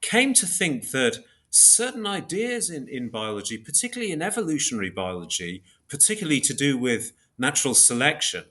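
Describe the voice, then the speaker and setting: A man speaking as an academic interview guest, explaining in a measured, scholarly way and pausing to search for words. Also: medium pitch, sing-song, pushed and bright.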